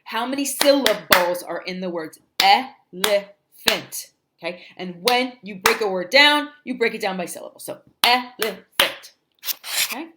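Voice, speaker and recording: Lively New Jersey teacher sounding out letters. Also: female voice, medium pitch, very noisy. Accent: New Jersey, softened toward standard American. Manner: animated, sounds out letters slowly, checks in with "okay?". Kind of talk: livestream phonics lesson for parents.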